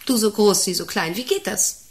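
An actress playing a middle-aged, self-important and intrusive company director in a radio play, rambling and domineering with a creaky voice. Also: female voice, medium pitch, expressive, normal speed, natural voice. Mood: prying, insistent. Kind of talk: radio play monologue and dialogue.

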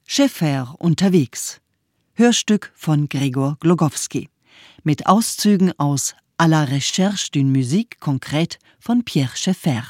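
A woman reading scripted radio play credits in a formal, clearly articulated way. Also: sits low, expressive, slow, a little mellow, dry recording, clean studio sound. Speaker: credits announcer.

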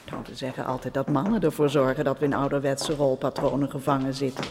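An actress playing a talkative, outspoken minister's wife with a breezy manner. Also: female voice, a bass voice, fairly even intonation, brisk, darkish tone, a little hiss or hum. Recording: reverberant room.

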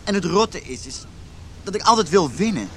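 A man's voice: high-pitched, very animated, moderate pace, a bit bright, a little hiss or hum. Disillusioned man in his thirties. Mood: bitter, confessional. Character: John, disillusioned unhappily married man of thirty-two, self-pitying. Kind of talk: naturalistic Dutch radio drama acting.

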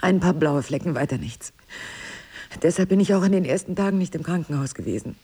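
An actress playing a devoted, determined wife in an intimate drama, earnest and insistent, often dropping toward a whisper. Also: female voice, on the low side, animated, fairly quick, dark tone, husky. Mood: guarded. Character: devoted, determined wife pleading with her husband to stay. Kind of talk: intimate dialogue-driven audio drama.

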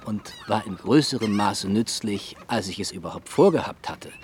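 An actor playing a courteous, self-assured Regency gentleman suitor in a radio play, with a polished, persuasive, formal manner. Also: lowish voice, expressive, moderate pace, slight background noise, lightly acted. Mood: self-satisfied. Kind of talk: period radio drama acting.

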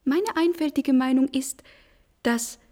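A witty young gentlewoman with a very soft-toned, fairly high voice. She speaks with playful, mannered politeness in period radio-drama style. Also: slow pace. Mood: playful, admiring.

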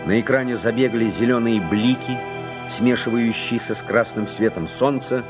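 A man's voice: mid-range voice, normal speed, natural voice. A man reading scripted, dramatic narration for an audio drama. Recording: clear music under the voice.

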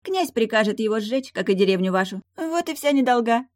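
A high-pitched young mermaid from a fantasy audiobook, played by a woman narrator. She is brash and carefree, teasing with sly, haughty exclamations. The sound is dry and close. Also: lively intonation, a little fast, a little mellow, no room sound, close to an everyday voice. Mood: breezy, careless.

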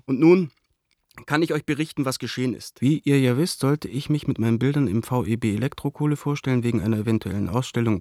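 An actor playing an embittered, indignant painter, venting a letter aloud in an agitated, sardonic and articulate way for a radio play. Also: male voice, medium pitch, mellow, no echo, studio quality, close to an everyday voice.

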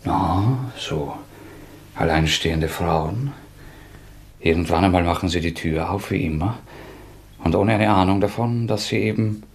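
An actor in a German radio play playing a haunted, taunting fugitive murderer, halting and erratic in his delivery. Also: low-pitched. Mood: brooding, menacing. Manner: erratic, halting, needling, swinging from muttering to agitation.

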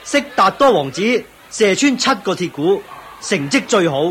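An actor playing a dutiful court official in a radio drama, formal, declamatory and deferential. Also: male voice, sits high, very animated, measured pace, a bit bright, a little hiss or hum, exaggerated voice. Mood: announcing. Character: dutiful court official announcing royal contest results.

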